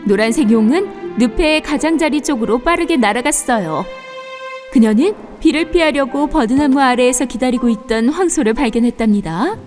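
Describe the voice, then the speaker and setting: High-voiced woman narrating children's tales. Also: moderate pace, faint music behind. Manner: expressive storybook delivery. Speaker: storyteller. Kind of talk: scripted fairy tale narration for children.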